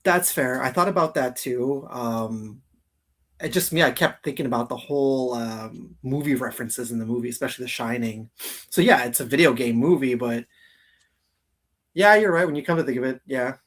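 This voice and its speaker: Husky man in casual chat. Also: medium pitch, moderate pace, a bit bright. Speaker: co-host of a live geek countdown show.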